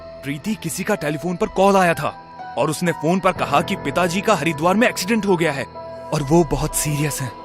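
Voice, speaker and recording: A man playing a cautious, earnest villager in an animated horror story. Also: fairly high pitch, moderate pace, music in the background, natural voice. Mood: wary, uneasy. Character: wary, serious village man in horror cartoon. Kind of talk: Hindi animated story voice acting.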